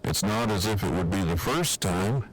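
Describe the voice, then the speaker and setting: A man playing a formal, precise, dryly affectionate man in an audio drama, speaking in wordy deadpan phrases. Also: sits low, moderate pace, noisy background. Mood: dry, fond. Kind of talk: fan audio drama acting.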